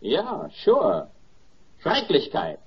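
An actor playing a smug, brutal soldier in 1940s radio drama style. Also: male voice, fairly high pitch, huge pitch swings, extremely slow, heavily acted. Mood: smug, chipper. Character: smug, brutal German soldier gloating over conquest. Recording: dull 1940s radio record.

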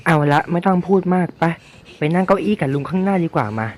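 A woman's voice: fairly low pitch, quick pace, a little mellow, lightly acted. A woman playing a shameless, money-hungry older uncle in a game skit, coaxing and taunting. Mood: mock-sweet. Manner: coaxing, mock-sweet, bullying. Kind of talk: improvised game role-play skit. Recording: clear background noise and clicks.

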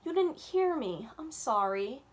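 A worried little storybook girl with a glum, childlike way of talking, as a woman reading aloud puts her on. Her pitch moves expressively and she speaks slowly. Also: sing-song. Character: young girl, worried and unsure.

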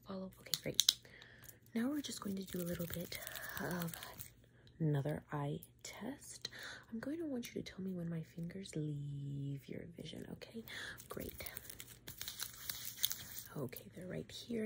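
A woman in her twenties doing an ASMR doctor's exam role-play, slipping between soft talk and whispering, close to the mic in a room at home. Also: lowish voice, gravelly, slight background noise. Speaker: ASMR creator playing a doctor. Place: small room at home, indoors.

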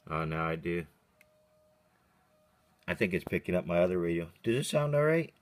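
A man's voice: deep voice, very animated, normal speed. A man casually testing a CB radio at his workbench in short, clipped calls, muttering to himself as he goes. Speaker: CB radio hobbyist testing a vintage radio. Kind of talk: casual radio check chat. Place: cluttered indoor radio workbench. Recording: clearly noisy handheld recording with clicks.

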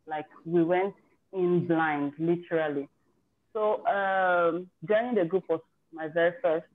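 A woman's voice: lowish voice, fairly even intonation, measured pace. A woman casually telling a group on a video call how she prepared for her exam, candid and chatty, often restarting her sentences. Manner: chatty and candid, with self-corrections. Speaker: participant sharing her exam success story. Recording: tinny, phone-like video call audio.

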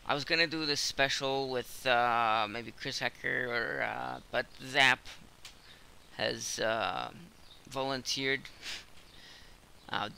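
A man's voice: mid-range voice, fairly even intonation, takes it slowly, gravelly, bright, forceful tone, a little hiss or hum. A man with a cold, casually commenting on his own gameplay while he plays, rambling with lots of fillers. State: hoarse from a cold.

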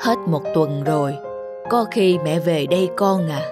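A woman voicing a gentle, lonely elderly country grandmother in an animated family drama, homely and apologetic. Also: deep voice, a little slow. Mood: sad, subdued. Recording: music under the voice.

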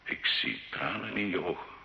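Low voiced solemn priest confessor in an old radio play, echoey muffled sound. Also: male voice, bright, forceful tone, exaggerated voice. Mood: gentle, solemn. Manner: solemn, measured, exhorting. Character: priest, earnest fatherly confessor urging a sinner to repent. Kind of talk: theatrical radio drama acting. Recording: dull old radio recording, roomy echo.

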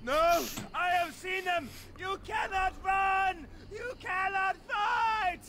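High-pitched, despairing doomsayer. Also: male voice, pushed and bright, heavily acted. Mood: frantic, ranting. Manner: declamatory, fervent, drawn-out delivery. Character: crazed, despairing refugee doomsayer from a clan. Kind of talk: dramatic fantasy video game acting.

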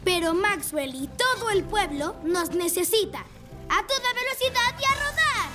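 A determined little motorcycle boy in a children's cartoon. The voice is very high and youthful, eager and dutiful, with prominent music behind it. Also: animated, normal speed. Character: earnest, determined young rescue motorcycle boy. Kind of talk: children's cartoon dub acting.

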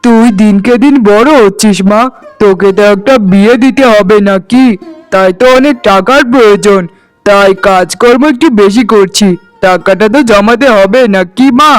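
A man voicing a tired, gentle middle-aged farmer father in a cartoon, weary and fatherly. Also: high voice, normal speed, clean tone, no room sound, faint music behind, lightly acted. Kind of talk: cartoon voice acting.

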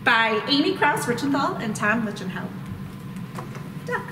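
A woman in her thirties reading a picture book aloud to young children in an expressive, playful, exaggerated way, in a large indoor gym. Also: fairly high pitch, a little slow. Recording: echoey hall, distant mic, loud room noise.

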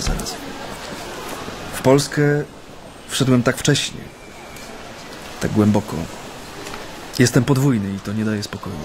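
An actor in a radio play voicing an earnest, weary Japanese activist in his thirties, speaking intimately and sometimes haltingly. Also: lowish voice, background noise, close to an everyday voice. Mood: reflective. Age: 30s. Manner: hesitant, halting when addressing people. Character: deported Japanese man, Solidarity activist, earnest and weary, recounting memories. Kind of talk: radio play acting, intimate first-person narration.

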